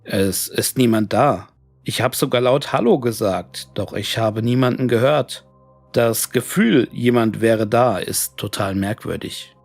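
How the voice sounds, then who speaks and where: Gravelly man narrating a horror story over music. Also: mid-range voice, a little slow, no echo. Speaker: narrator reading horror stories. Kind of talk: scripted creepypasta audiobook narration of emails and diary entries.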